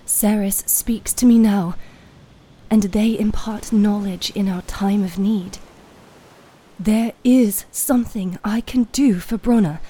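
Woman playing mystic priestess, high soprano, soft-spoken, solemn, measured, fantasy audio story. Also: steady tone, husky.

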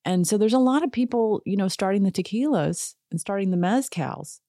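A woman hosting a podcast in a casual, chatty way, sarcastic and quick to laugh. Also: mid-range voice, animated, dark tone, dry recording, clean studio sound. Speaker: podcast host. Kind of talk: casual chat.

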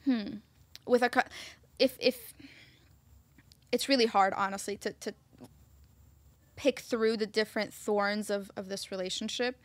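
A woman from Egypt co-hosting a casual talk show, speaking warmly but hesitantly, often restarting her sentences. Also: medium pitch, steady tone, measured pace, pauses often, dry recording. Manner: warm, hesitant, self-interrupting. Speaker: co-host. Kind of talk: casual chat.

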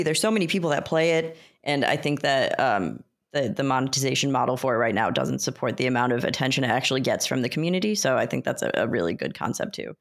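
A podcast panelist chatting casually about games, hesitating and thinking aloud. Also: medium pitch. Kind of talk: casual gaming podcast chat.

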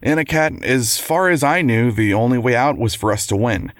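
An actor playing a devoted, argumentative lawyer husband in an audio drama, with wry courtroom-style banter. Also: male voice, medium pitch, animated, moderate pace, no room sound, natural voice. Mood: earnest, tender.

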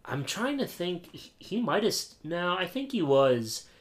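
A man's voice: high-pitched, sing-song, measured pace. A young American man from Pennsylvania hosting a podcast in an informal, laid-back chat. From Pennsylvania, United States. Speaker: podcast host. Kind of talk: casual chat.

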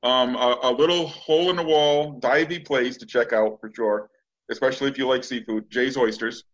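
A man's voice: mid-range voice, fairly even intonation, moderate pace, a bit bright. Middle-aged man, dull lo-fi audio, casual host. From Massachusetts. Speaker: podcast host. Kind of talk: casual chat.

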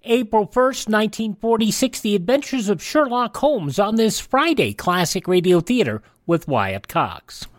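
A man's voice: high voice, very animated, measured pace, few pauses, no echo, clean studio sound. Male radio host, scripted delivery. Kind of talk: scripted news reading and programme presenting.

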